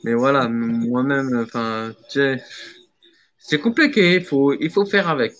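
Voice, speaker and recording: A man's voice: mid-range voice, unhurried, a bit bright. Emphatic man on dull lo-fi audio. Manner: emphatic, repeats phrases. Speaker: guest caller. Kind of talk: casual live chat.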